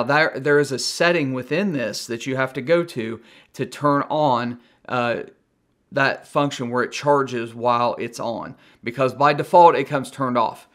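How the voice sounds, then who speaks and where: A middle-aged American man talks through a product review in a casual way. His voice sits at an average pitch. He is plain-spoken and conversational, like a video host explaining gear to viewers. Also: a bit bright.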